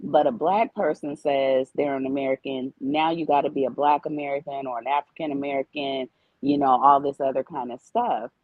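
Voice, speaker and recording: A woman with a slightly husky, breathy voice lays out her view in an earnest, casual way, returning to the same points as she goes. The sound is dull and low-fi, and very dry. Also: normal speed, dry recording. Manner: explains at length, repeats points. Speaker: guest.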